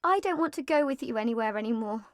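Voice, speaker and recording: A woman's voice: a little high, animated, fast, slight background noise, lightly acted. An actress playing a young orphan girl in a video game, earnest, plaintive and stubbornly moralizing. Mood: defiant.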